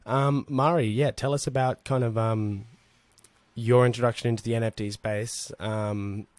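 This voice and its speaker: A man hosting a casual online chat with artists, relaxed and rambling, with many fillers and restarts. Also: medium pitch, normal speed, dry recording. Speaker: host.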